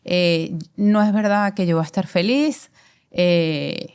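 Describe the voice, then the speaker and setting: Venezuelan lady talking about her life, lowish voice, slow and thoughtful, lots of eh and um. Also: a little mellow, clean tone. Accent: Venezuelan Spanish. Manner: reflective, expansive, many fillers and repeats. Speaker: guest, commercial director. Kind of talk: conversational interview.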